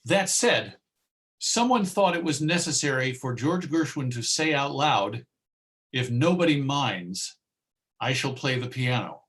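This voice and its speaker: A man presents an informal lecture in a voice of average pitch. His delivery is conversational and somewhat halting, as he explains things in the role of presenter. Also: lively intonation, fairly bright tone. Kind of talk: informal audio-visual lecture.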